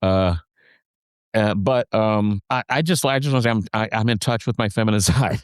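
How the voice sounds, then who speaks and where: A man with a low voice in casual podcast conversation, talking haltingly with many restarts and fillers. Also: clean tone, dry recording, studio quality. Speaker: podcast co-host.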